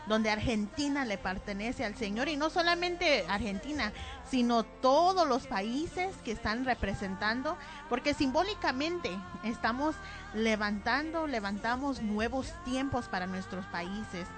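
High-voiced woman co-hosting church radio. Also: expressive, measured pace. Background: music.

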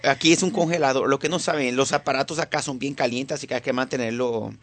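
A man's voice: mid-range voice, fairly even intonation, quick pace, a bit bright, soft background music. A man hosting a Spanish-language radio show from the studio, chatting casually with his co-host and mixing in English words.

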